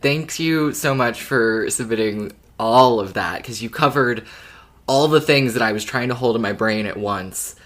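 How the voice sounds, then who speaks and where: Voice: mid-range voice, unhurried, fairly bright tone. A podcast co-host talking casually and conversationally.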